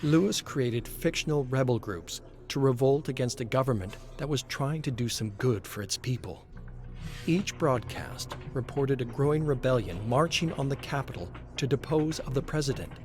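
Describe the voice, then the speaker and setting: Businesslike female agent, voiced by a man, raised pitch. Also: animated, moderate pace, music in the background, lightly acted. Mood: businesslike, grave. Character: female agent briefing a field operative, businesslike.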